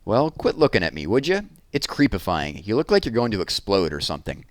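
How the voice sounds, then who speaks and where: A man's voice: lowish voice, big pitch swings, brisk, no echo. Man reading as a grumpy young boy. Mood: irritated. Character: grumpy, prickly young boy, an older brother.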